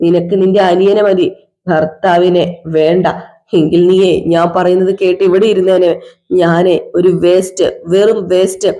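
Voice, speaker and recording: A woman's voice: fairly low pitch, level tone, warm tone, lightly acted. Woman voicing a drunk, bitter husband. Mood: sarcastic, bitter. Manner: accusing, repetitive, slow sarcastic drawl. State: drunk (as acted). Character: drunk, bitter middle-aged husband, self-pitying. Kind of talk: one-person domestic drama skit.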